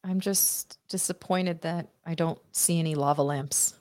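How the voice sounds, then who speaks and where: A woman's voice: low voice, fairly even intonation, measured pace, a little mellow. A woman co-hosting a podcast, chatting casually about a movie with wry, playful interjections. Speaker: podcast co-host. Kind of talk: casual watch-party banter.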